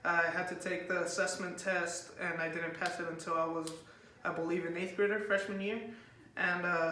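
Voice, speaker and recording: A young man whose first language is Spanish gives hesitant, filler-laden answers in a high, bright voice that stays fairly flat in pitch. He is recorded from a distance in an echoey room with faint background noise. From United States. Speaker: interviewee. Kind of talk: casual interview answers.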